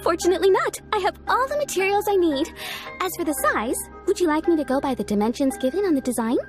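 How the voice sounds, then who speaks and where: Very high, cheerful shopkeeper. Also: female voice, expressive. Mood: businesslike. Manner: courteous, brisk customer service. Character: cheerful, businesslike female kimono shopkeeper, eager to serve. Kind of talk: video game character acting. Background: music.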